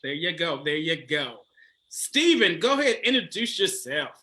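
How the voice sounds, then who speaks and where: A man's voice: fairly high pitch, sing-song, normal speed, pushed and bright. A man hosting a casual dating chat on a video call, playful and laughing often. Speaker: dating coach host.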